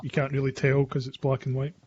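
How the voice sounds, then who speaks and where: A man chatting casually in a group, informal and a little halting, with a joking tone. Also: mid-range voice, completely flat delivery, a little mellow. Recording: dry lo-fi online call.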